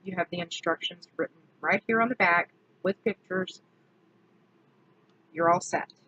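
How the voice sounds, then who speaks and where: A woman, slightly hoarse from allergies, chatting casually through a makeup product review and correcting herself as she goes. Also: on the low side, sharp tone, lo-fi sound. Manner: chatty, self-correcting, slow and deliberate. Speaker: beauty vlogger.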